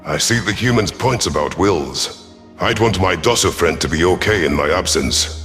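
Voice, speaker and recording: Man as a deep, stern alien commander. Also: expressive, moderate pace, a bit bright, faint music behind. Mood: sardonic. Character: gruff male reptilian alien rebel commander, sardonic, proud. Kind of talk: first-person scripted audio story narration.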